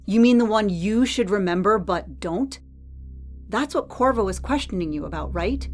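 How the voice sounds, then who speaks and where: A woman plays a tough, unflappable head of security in an audio drama. She is pointed and sardonic, pressing her questions and refusing to be pushed around. Her voice sits at a middle pitch over clearly audible music. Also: animated, normal speed, lightly acted. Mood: probing, needling. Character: hard-edged head of security, probing, unflappable, defiant.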